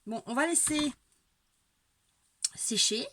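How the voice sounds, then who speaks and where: A woman's voice: sits high, slow, a bit bright. Stuffy-nosed woman rambling through a painting demo. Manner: rambling and hesitant, with repeated words and wry asides. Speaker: amateur painter showing her technique. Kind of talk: casual hands-on painting demonstration. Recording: home recording with clear background noise. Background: dog barking now and then.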